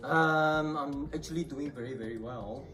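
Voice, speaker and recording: A man from Singapore with a Singaporean accent, a guest in a casual chat who talks in a relaxed way with many fillers. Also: mid-range voice, normal speed. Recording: distant mic with music behind.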